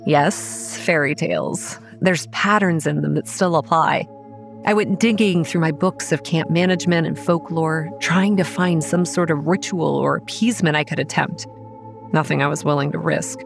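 Faint music sits behind a young female campground manager in a horror audio drama. Her voice is low and measured, telling eerie things in a pragmatic, wry, matter-of-fact way. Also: vocal fry. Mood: wry, weary narration. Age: young adult. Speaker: narrator and lead character. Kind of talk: first-person scripted horror narration and dialogue.

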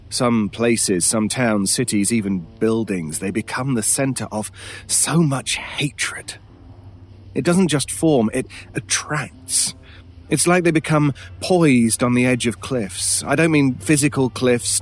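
Man acting a slow, brooding British drifter. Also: medium pitch, natural voice. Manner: brooding, wry, portentous storytelling with dramatic pauses. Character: world-weary, haunted British drifter, a mysterious male stranger. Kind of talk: audio horror drama acting.

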